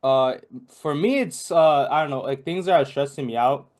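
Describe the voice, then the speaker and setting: A man's voice: on the high side, moderate pace, a little mellow. A young man from Bangladesh, a podcast guest in casual chat, rambling with many fillers and restarts. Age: young adult.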